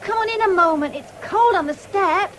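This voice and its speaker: A woman's voice: extremely high pitch, normal speed, a bit bright. An actress playing an eager, kindly and rather shy young woman in a radio drama. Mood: concerned, welcoming. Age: young adult. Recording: faintly noisy 1970s radio recording.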